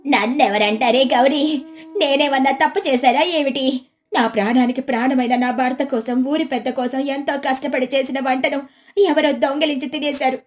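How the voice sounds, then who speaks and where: A woman's voice: sits high, fairly even intonation, normal speed, lightly acted. A woman storyteller voicing a clever, theatrical village housewife with an exclamatory, dramatic manner. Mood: feigned grief, warning.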